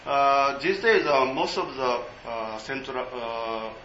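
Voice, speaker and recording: A man giving an informal seminar talk in English, halting and full of fillers. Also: medium pitch, fairly even intonation, sharp tone, roomy sound, lo-fi sound, a little hiss or hum. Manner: halting, many fillers, non-native English.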